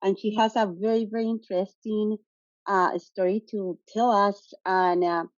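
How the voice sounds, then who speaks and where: A woman's voice: medium pitch, steady tone, normal speed, no echo. Hesitant woman host, dull call audio. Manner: hesitant, many uh fillers, laughs easily. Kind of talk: casual interview hosting.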